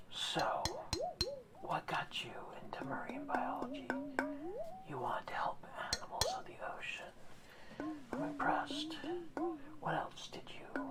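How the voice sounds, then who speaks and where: A performer voicing a wise male orca guardian of Atlantis in a slow, soft ASMR whisper, quizzing the listener, a little distant from the mic. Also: high-pitched, exaggerated voice. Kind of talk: ASMR roleplay.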